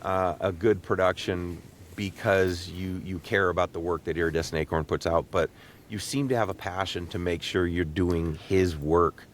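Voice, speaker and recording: A middle-aged man hosting a casual interview, often halting and repeating words as he gathers his thoughts. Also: fairly even intonation. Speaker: podcast host and interviewer. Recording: low rumbling background noise.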